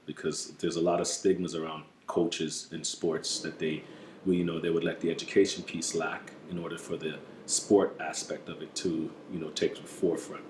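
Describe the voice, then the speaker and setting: Very deep, monotone man from the US, earnest documentary interviewee, echoey room. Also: slight background noise. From United States. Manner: measured and earnest, with frequent "you know" fillers. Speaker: program adviser.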